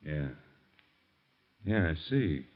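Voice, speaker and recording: An actor playing a tough ex-police detective who talks in blunt, hard-boiled slang, in 1940s radio acting. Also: male voice, very deep voice, big pitch swings, drawn-out words, full character voice. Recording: muffled 1940s radio broadcast.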